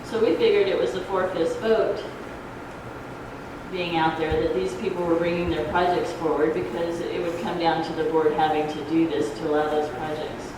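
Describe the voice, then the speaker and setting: A soft-voiced woman giving an informal explanatory talk at a public forum, speaking in a halting, hesitant way. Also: lowish voice, level tone. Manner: halting, hesitant, loses her thread. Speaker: ballot measure proponent, debate speaker. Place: community grange hall. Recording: echoey hall, distant noisy microphone.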